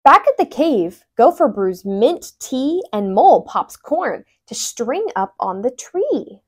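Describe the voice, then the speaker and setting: High, very animated young woman reading a storybook. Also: slow, breathy edge. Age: young adult. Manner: animated, with brief asides explaining words. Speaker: tutor reading a picture book aloud. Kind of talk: expressive children's storybook read-aloud. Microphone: lapel mic.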